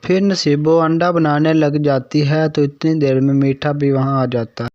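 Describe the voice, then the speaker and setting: A man's voice: fairly high pitch, fairly even intonation, normal speed, darkish tone, slight background noise. A man reading scripted narration for a cartoon story. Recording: dry, slightly dull sound.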